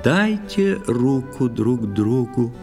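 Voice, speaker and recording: An actor playing a stern, grave old priest in a verse audio play, declaiming with emphasis and suspicion. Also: male voice, medium pitch, big pitch swings, takes it slowly, gravelly, in a large hall, music in the background, pitched above the performer's own voice, heavily acted. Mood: solemn.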